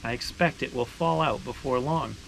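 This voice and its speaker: A patient storybook dad voiced by a man, calm and matter-of-fact. The pitch is an everyday male one, with faint noise in the background. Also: moderate pace, gravelly, low-quality recording. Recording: steady ambient background noise.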